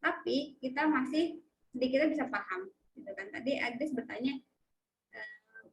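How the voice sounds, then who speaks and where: High-voiced woman lecturing on a video call. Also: normal speed. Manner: questioning, prompts students with frequent tag questions. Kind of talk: online sociolinguistics lecture. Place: home, online class.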